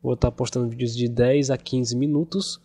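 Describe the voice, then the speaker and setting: A hoarse young man with a cold, casually talking through gameplay as a gaming youtuber. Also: mid-range voice, normal speed. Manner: casual, relaxed chatty narration. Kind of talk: gameplay commentary. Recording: voice over game music.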